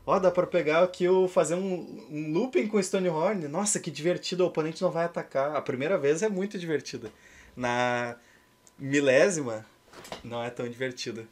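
A man's voice: on the high side, fairly quick. A man in his thirties with a Brazilian accent, chatting casually and ironically as he hosts and commentates a game stream. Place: indoor room at home.

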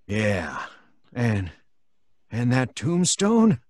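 An actor playing a simple, stubborn, spooked old country man in an old-time radio drama, folksy and halting. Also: mid-range voice, huge pitch swings, a little mellow, dry recording, clean studio sound, full character voice. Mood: spooked, uneasy. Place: home recording, live remote video call.

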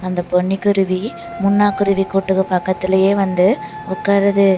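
A woman narrating a cartoon story in an even, storytelling manner. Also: medium pitch, normal speed. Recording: light music bed.

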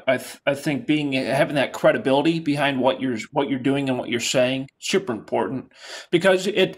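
A middle-aged man teaching a class over a video call, encouraging and repeating his words as he talks. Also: medium pitch. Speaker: instructor. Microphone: video call webcam.

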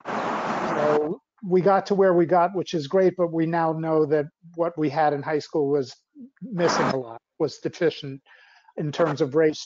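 A man's voice: fairly high pitch, darkish tone, a little hiss or hum. Man on a dull video call. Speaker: school board chair. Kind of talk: informal remarks in an online meeting.

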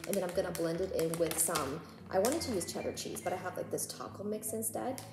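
A young woman in her twenties giving a relaxed, chatty cook-along talk to camera in her home kitchen, with frequent "um" and "go ahead". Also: on the low side, fairly quick, background noise. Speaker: cooking vlogger. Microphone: camera on a tripod across the counter. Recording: echoey kitchen with background music.

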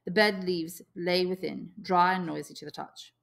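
Audiobook reader: woman, slightly low pitch with noticeable vocal fry, expressive teacherly storytelling. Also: normal speed. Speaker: English teacher reading a novel. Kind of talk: audiobook narration.

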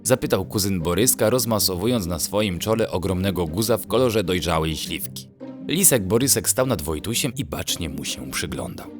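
Male narrator, deep voice, lively intonation, scripted children's bedtime tale, expressive, background music. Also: normal speed. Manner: expressive storytelling. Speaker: narrator of a children's story podcast.

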